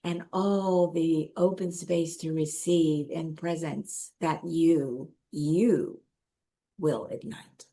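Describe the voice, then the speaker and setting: Woman, podcast host, low mellow voice, slow soft speech, video call. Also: fairly even intonation. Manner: soft-spoken, unhurried, effusive. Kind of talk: casual interview chat.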